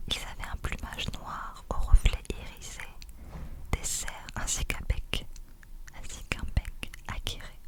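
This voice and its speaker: A woman reading a novel aloud in a soft, whispered ASMR style. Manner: soft unvoiced whispering throughout. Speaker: ASMR reader.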